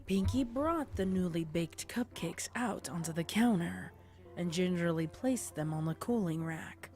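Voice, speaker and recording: A woman's voice: fairly low pitch, expressive, a little slow, a little mellow, soft background music. A woman reading scripted story narration as the narrator of a tale.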